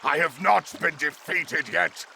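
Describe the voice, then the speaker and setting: A man voicing a proud, power-mad warrior villain in a video game, gravelly and menacing, with theatrical, imperious delivery over dramatic music. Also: on the high side, measured pace, background noise. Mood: seething rage.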